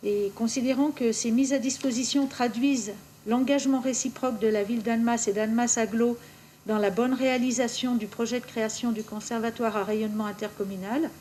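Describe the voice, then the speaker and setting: Female official, soft-edged higher voice, formal meeting explanation, faint noise behind. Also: fairly even intonation, fairly quick. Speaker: municipal official presenting a council resolution. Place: municipal council meeting.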